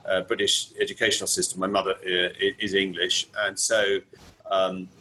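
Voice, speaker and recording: Bright-voiced man, halting and thoughtful. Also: sits low, level tone, a little hiss or hum, rough recording. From Kenya. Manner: hesitant, many restarts and fillers. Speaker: guest, property finance specialist. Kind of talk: casual interview chat. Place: home in Barcelona.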